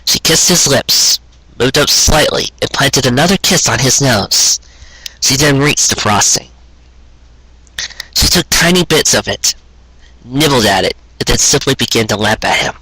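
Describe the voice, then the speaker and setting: A man narrates a romantic tale with earnest drama. His voice is bright and forward with a husky grain, recorded dry and close. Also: medium pitch, animated, measured pace, no room sound, rough recording. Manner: earnest, dramatic storytelling delivery. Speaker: storyteller reading fan fiction aloud. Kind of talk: scripted narration of a sentimental romance story.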